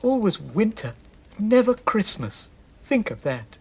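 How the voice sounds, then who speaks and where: Timid nervy faun, kinda theatrical and full of guilt, storybook radio play, voice goes up and down. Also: male voice, high-pitched, very animated, vocal fry, husky, exaggerated voice. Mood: dread. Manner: halting, self-reproachful, theatrical. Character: gentle, guilt-ridden male faun, timid and fretful.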